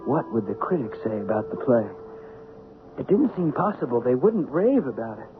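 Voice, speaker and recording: A man's voice: mid-range voice, sing-song, normal speed, close to an everyday voice. An actor playing an earnest Broadway producer's assistant, telling his story conversationally in an old-time radio drama. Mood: reflective storytelling. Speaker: actor and narrator. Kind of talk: old-time radio drama acting, first-person narration. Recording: narrow-band old radio with loud music.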